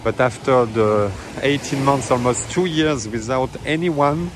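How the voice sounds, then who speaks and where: A man's voice: medium pitch, unhurried. A man casually commenting as he films a walking tour on a beach, chatting in slightly non-native phrasing with fillers. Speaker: travel vlogger. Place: outdoors on a tropical beach. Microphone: handheld phone camera. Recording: outdoor phone audio with surf noise. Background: waves and wind.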